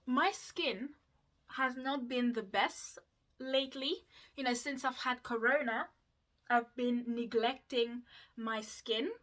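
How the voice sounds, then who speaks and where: A woman's voice: fairly high pitch, slow pace, a bit bright. A woman, chatting casually to her viewers while doing her skincare, with lots of fillers and asides. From Mauritius. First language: Mauritian Creole. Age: 20s. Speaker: vlogger.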